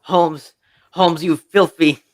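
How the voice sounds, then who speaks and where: Man playing a hot-headed, lovesick young gentleman. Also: fairly high pitch, steady tone, takes it slowly, a little hiss or hum. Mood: distraught. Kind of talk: amateur performance of a 1940s radio mystery.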